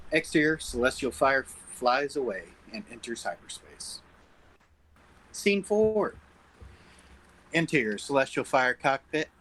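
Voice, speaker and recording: A man narrating stage directions at a script table read, with a slightly husky voice. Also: mid-range voice, a bit bright, poor audio.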